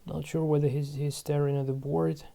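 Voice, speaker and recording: Man, 30s, channel host; dark, mellow tone; halting think-aloud game commentary. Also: fairly high pitch, flat delivery, creaky. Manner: musing aloud to himself, halting. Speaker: channel host playing online board games.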